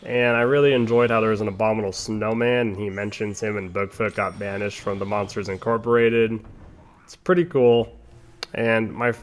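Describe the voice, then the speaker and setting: A man giving casual, rambling commentary over a video game playthrough, chatting with playful asides. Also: lowish voice. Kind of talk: casual gameplay commentary with tangents.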